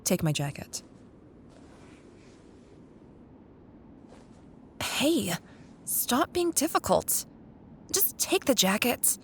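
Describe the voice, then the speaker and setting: A woman playing a caring, wistful young childhood best friend in an intimate, gently teasing ASMR roleplay. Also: sing-song, full character voice. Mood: fussing, caring. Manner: tender, unhurried, teasing. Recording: audible low rumbling background noise.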